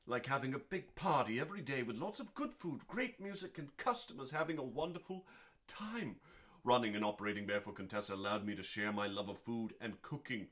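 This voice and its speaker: Low-voiced man giving a dramatic reading. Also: a bit bright. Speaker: host of a cookbook reading show. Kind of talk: slow dramatic book reading, meant as calming bedtime listening.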